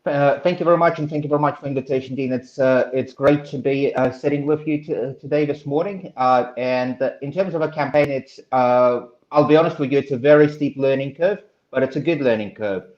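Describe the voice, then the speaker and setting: A man in his thirties, originally from Russia, answering interview questions as a political candidate in an earnest, halting way with many pauses and restarts. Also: mid-range voice, darkish tone. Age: 30s. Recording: echoey room, dull lo-fi sound.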